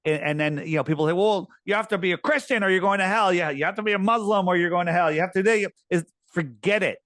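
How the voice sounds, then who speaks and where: A man speaking as a podcast guest, earnest and emphatic, his voice worn and going hoarse. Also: fast talker, a bit bright, no echo, clean studio sound. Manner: earnest, emphatic, rising pitch on key points. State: losing his voice. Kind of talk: conversational interview talk.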